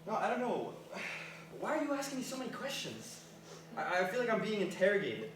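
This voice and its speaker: A man's voice: sits high, sing-song, a little fast. A man acting an earnest, awkward young dropout in a staged comedy, matter-of-fact and literal-minded. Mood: flustered, apologetic. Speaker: stage actor in a staged reading. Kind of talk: naturalistic stage comedy dialogue. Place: live staged reading in a reverberant room. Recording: echoey, noisy room from far off.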